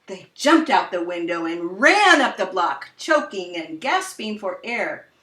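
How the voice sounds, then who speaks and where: A woman reading a children's story aloud expressively, with dramatic, drawn-out emphasis. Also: lowish voice, big pitch swings, fairly bright tone. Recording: roomy echo, distant microphone.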